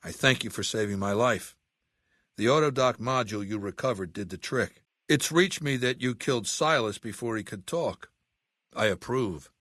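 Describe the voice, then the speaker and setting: A male actor playing an imperious middle-aged dictator of a slaver legion in a video game, speaking in a commanding, condescending, deliberate way with menace. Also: mid-range voice, a bit bright, dry recording, clean studio sound, lightly acted. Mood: measured, commanding. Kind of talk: video game character dialogue.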